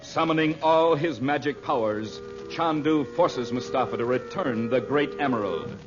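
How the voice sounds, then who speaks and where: A male announcer from the golden age of radio, polished and theatrical, with big rises and falls in pitch. His voice is muffled by the old recording, and prominent music plays along. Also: lively intonation, normal speed. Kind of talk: 1940s-style radio announcing, scripted narration.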